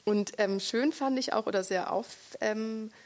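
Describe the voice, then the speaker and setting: A woman interviewing an author at a book reading, talking thoughtfully and pausing often to search for words. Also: mid-range voice, a little mellow. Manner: reflective, searching for words with many pauses.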